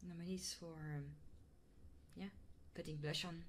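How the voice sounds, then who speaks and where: A woman streaming ASMR, chatting casually and playfully with her viewers in a soft, intimate style. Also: lowish voice, steady tone, moderate pace, background noise.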